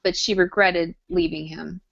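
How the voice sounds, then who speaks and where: A woman co-hosting a casual chat show about a TV drama, speaking in an animated, exclaiming, teasing way. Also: lowish voice, normal speed, vocal fry. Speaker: podcast co-host. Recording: dull lo-fi audio.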